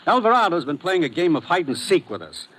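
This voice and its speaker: An actor playing a stern, authoritative commissioner giving clipped orders in 1950s radio drama style. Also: male voice, a little high, sing-song, fast talker, fairly bright tone. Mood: stern, urgent. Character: stern, businesslike male commissioner briefing an agent.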